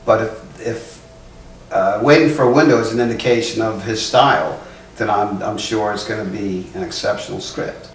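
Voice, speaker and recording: A man's voice: lowish voice, moderate pace. A man talking casually in choppy phrases in an informal interview. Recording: roomy, distant mic, faint hum.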